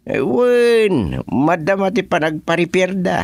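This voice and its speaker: Guy doing comic village chairman for radio drama, excitable, drawn out exclamations, very swoopy pitch, close dry sound. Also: gravelly, no echo, exaggerated voice. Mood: puzzled, surprised. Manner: exclaiming, reacting with drawn-out interjections. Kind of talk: comedic radio drama acting.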